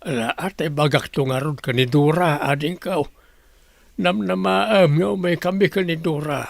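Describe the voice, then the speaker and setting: A man's voice: on the high side, unhurried, creaky, no echo. A man playing a friendly, neighbourly middle-aged relative in an audio drama, with a Filipino Ilocano accent.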